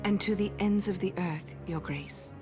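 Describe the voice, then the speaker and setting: An actress playing a devoted, poised military officer in a game cutscene, speaking formally and with deference. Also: female voice, medium pitch, normal speed, music in the background, close to an everyday voice.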